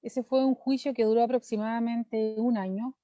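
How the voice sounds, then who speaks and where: Chilean woman in her 30s, kinda high voice but mellow and dark, dry muffled call sound, talks earnest and long. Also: steady tone, moderate pace, dry recording. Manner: earnest, fluent, gives long detailed answers. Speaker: lawyer and political candidate. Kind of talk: interview answers.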